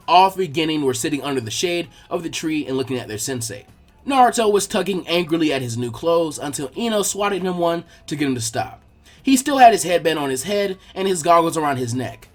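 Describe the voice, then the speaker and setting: A man reading fan fiction aloud as a scripted story narration. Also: on the high side.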